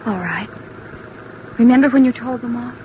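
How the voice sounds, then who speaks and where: An actress playing an anxious, devoted young sweetheart, earnest and insistent, in an old-time radio drama. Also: female voice, a little high, normal speed, close to an everyday voice. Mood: anxious, pleading. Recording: muffled, noisy vintage radio recording.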